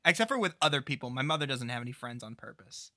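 A man's voice: mid-range voice, expressive, quick pace, bright, forceful tone. A young man co-hosting a casual podcast, rambling and giggly, with lots of fillers. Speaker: podcast co-host.

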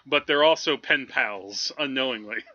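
A man's voice: medium pitch, normal speed, bright, forceful tone, muffled recording. A man talking through films casually as a podcast host, in a rambling, conversational way. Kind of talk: casual film discussion.

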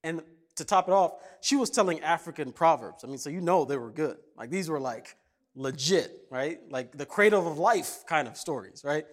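A man's voice: fairly high pitch, normal speed. A man from Kenya preaching a casual, jokey sermon and telling stories. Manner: conversational, jokey, animated. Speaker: pastor.

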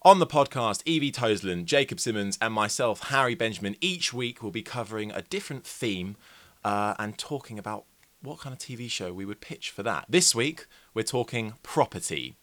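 Animated young man hosting a comedy podcast. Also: lowish voice, moderate pace. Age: young adult. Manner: lively, playful, theatrical. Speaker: podcast host.

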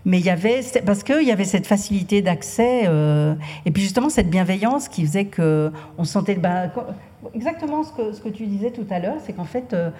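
A woman's voice: mid-range voice, animated, a little fast, mellow, big-room sound. A woman writer speaking as a guest at a literary talk, hesitant, with many fillers. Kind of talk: casual conversational interview.

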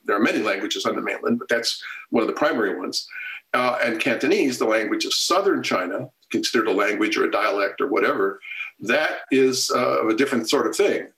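A man answering interview questions at length in a measured, lecture-like way, with frequent pauses and fillers. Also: lowish voice, lively intonation, gravelly, fairly bright tone, reverberant, poor audio. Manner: measured and expository, many fillers and restarts. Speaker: film scholar guest.

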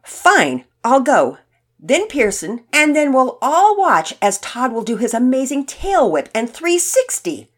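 A woman puts on a prickly young boy from a children's story. The voice is lifted and forceful, combative and thick with sarcasm. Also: high voice, sing-song, unhurried, voice pushed up, exaggerated voice. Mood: sarcastic. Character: irritable, challenging boy, sarcastic rival.

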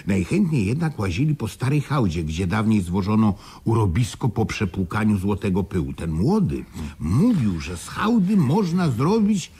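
An actor in a Polish radio play playing a boastful, sly mine seller with a chatty way of storytelling. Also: male voice, lowish voice, sing-song, moderate pace, warm tone, slightly rough, heavily acted. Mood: amused, gossipy.

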